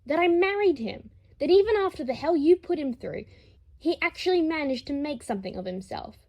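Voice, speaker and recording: A woman's voice: high voice, lively intonation, fairly quick, highs cut off, pitched above the performer's own voice. A woman reading a dramatised story as a hot-tempered, protective father, blunt and combative. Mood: angry taunting. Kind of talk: dramatised audiobook reading of fan fiction.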